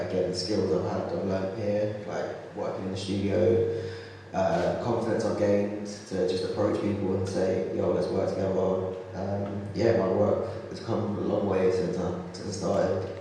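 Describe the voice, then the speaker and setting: Very deep, flat young man. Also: slight background noise. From South London. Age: 20s. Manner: laid-back, slangy. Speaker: photography trainee. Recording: echoey hall, distant mic.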